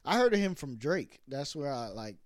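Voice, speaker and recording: A man hosting a podcast in a relaxed, chatty way and laughing easily with his guest. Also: medium pitch, moderate pace, no room sound, studio quality. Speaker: podcast host. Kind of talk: casual interview chat.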